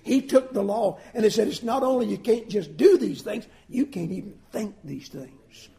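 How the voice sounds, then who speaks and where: A man preaching a sermon with folksy asides, swinging between loud emphatic lines and soft drawn-out phrases. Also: high voice, lively intonation, vocal fry.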